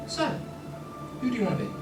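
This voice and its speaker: A man playing a gentle, eccentric time traveller in a fan radio play, stammering, whimsical and reassuring. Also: quick pace, natural voice. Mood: playful, kindly. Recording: roomy, distant, music behind.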